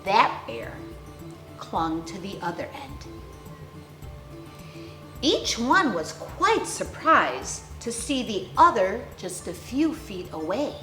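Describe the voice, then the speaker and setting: Slow-paced woman reading a children's storybook. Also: mid-range voice, big pitch swings, pushed and bright. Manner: theatrical, deliberate phrasing with dramatic emphasis. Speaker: children's storyteller, clown character host. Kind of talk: expressive storybook read-aloud for young children. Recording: roomy echo, faint music behind.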